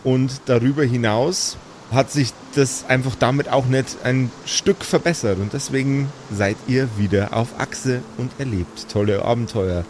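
A man's voice: mid-range voice, a little slow, a little mellow, a little hiss or hum. A man improvising narration as game master of a tabletop game, with a sardonic manner.

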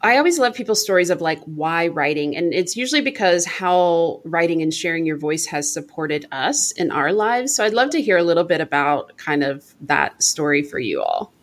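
Brief: upbeat woman podcast host, lower-pitched voice, effusive. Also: expressive, moderate pace, few pauses. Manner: bubbly, effusive. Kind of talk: enthusiastic podcast hosting.

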